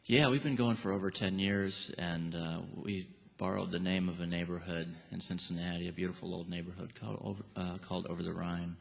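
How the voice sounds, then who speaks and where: A man with a low, creaky voice chats as a musician guest. His delivery is casual and wry, and the long reverb of a hall surrounds him. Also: steady tone, brisk, few pauses. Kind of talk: casual interview chat. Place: theatre stage.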